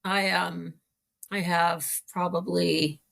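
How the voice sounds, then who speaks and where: A middle-aged woman with a Canadian accent, telling her own story casually as an interview guest, pausing to think. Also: lowish voice, little pitch movement, creaky, a bit bright. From small-town Manitoba, Canada.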